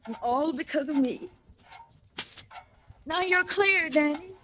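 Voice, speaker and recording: An actress playing an obsessive, lovesick killer in a 1940s radio melodrama. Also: female voice, sits high, slow pace, bright, forceful tone, full character voice. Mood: tearful, resigned. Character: obsessive, lovesick woman who has killed. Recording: noisy old radio recording.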